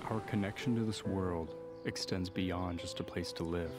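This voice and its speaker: A man's voice: sits low, steady tone, normal speed. A man giving reflective scripted voice-over narration in a solemn, sentimental manner. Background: music.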